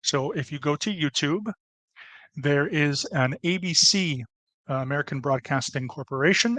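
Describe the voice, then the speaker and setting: A man's voice: a little high, fairly bright tone, no echo. Rambling man giving a casual history talk. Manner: rambling, many fillers and restarts. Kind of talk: casual podcast presentation.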